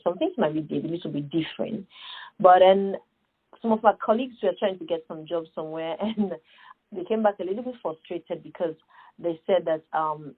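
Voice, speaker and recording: A woman's voice: lowish voice, breathy edge, narrow, tinny audio. A middle-aged woman from Sierra Leone with a Sierra Leonean accent, answering interview questions earnestly and emphatically, with many fillers and rhetorical questions. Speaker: guest, nonprofit founder.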